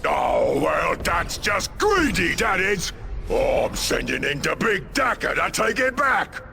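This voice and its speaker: An actor voicing a reckless, brutish ork brawler in a video game, hollering in gravelly Cockney slang. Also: male voice, high voice, sing-song, slow, a little hiss or hum, full character voice. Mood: indignant. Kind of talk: video game cutscene acting. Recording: loud music and machinery behind.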